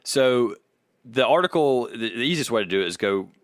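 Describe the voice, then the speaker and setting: A man co-hosting a podcast, talking casually in a measured, hesitant way and sometimes reading aloud. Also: medium pitch, animated, no echo, studio quality. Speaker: podcast co-host.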